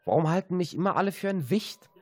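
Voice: medium pitch, lively intonation, fast talker, noisy background, lightly acted. An actor playing a plucky, boastful little tailor in a children's fairy-tale radio play, confident and cunning. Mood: defiant.

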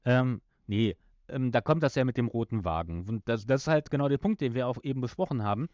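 A man's voice: mid-range voice, expressive, fast, a little mellow, no room sound. Man podcasting, dull dry sound. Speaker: podcast host. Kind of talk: casual discussion of an audio drama episode.

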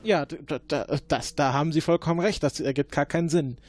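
A man in an improvised role-play, questioning formally and probingly as an investigator, often halting mid-sentence. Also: a little high, a little mellow. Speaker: player acting as investigating agent.

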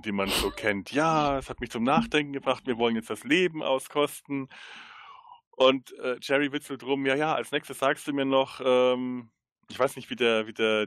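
A man's voice: mid-range voice, a bit bright, no echo, clean studio sound. A man co-hosting a podcast, casually retelling a story with enthusiasm and searching for words as he goes. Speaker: podcast co-host. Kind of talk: casual chat retelling a TV episode.

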